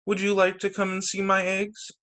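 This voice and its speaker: A man's voice: high-pitched, completely flat delivery, normal speed, pushed and bright, pitched above the performer's own voice. A man voicing a proud but easily saddened mother hen in a children's storybook read aloud. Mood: friendly, hopeful. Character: proud mother hen, growing glum and wistful.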